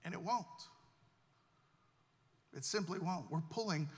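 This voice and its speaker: A man's voice: sits high, unhurried, long reverb tail. A man preaching a sermon as pastor, earnest and repeating himself as he builds each point.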